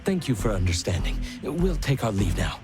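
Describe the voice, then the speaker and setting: A man voicing a courteous, gentlemanly companion in a video game, with formal, polite and measured phrasing. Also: medium pitch, sing-song, over loud music, natural voice. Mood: polite, composed.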